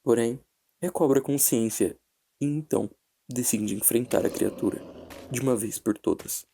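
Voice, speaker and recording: A Brazilian man narrating a scripted horror analysis in a measured, dramatic way with deliberate pauses. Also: mid-range voice, creaky, warm tone, a little hiss or hum. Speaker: narrator and video essayist. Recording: background music under the voice. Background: music.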